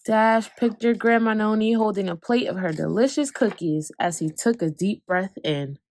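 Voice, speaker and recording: A woman reading a children's picture book aloud, expressive, slowing down for calm moments and speeding up for excitement. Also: mid-range voice, no echo. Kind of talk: read-aloud storytelling.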